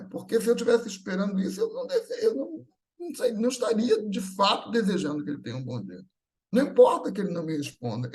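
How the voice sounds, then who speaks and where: A man's voice: high-pitched, darkish tone. A Brazilian man gives an informal religious talk, conversational, telling anecdotes with bits of acted-out dialogue and often checking for agreement. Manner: conversational, often seeking agreement with short tag questions; acts out everyday dialogue in anecdotes. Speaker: speaker at a Spiritist gathering.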